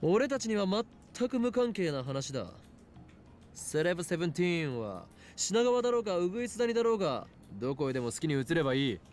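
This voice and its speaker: A man's voice: high voice, big pitch swings, rapid-fire, a little mellow, faint music behind. A man voicing a pompous, argumentative young self-styled mad scientist in an anime visual novel, theatrical and imperious, over noisy game audio. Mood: scornful.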